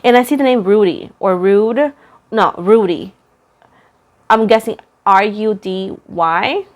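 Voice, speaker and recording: A woman's voice: medium pitch, slow pace. Chatty woman reading tarot. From Puerto Rico. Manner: rambling, self-deprecating, repeats phrases for emphasis. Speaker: tarot reader, host.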